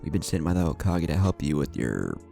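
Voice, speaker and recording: A man's voice: very deep voice, very animated, a little fast, creaky, warm tone, heavily acted. A man voicing an earnest, polite young male ninja teacher in a narrated story, serious and reassuring, over music. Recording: music under the voice.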